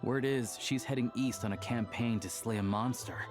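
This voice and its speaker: A man's voice: mid-range voice, moderate pace, music in the background, lightly acted. An actor playing an easygoing, worldly young man in a video game, talking in a conversational, confiding way. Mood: informative. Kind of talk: video game dialogue acting.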